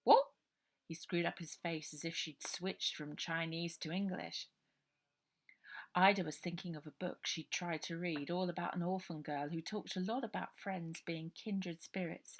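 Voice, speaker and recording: Female storyteller for middle-grade fiction, lowish pitch with a creaky edge. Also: fairly even intonation, moderate pace. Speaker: author reading own novel aloud. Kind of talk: children's story narration, unedited.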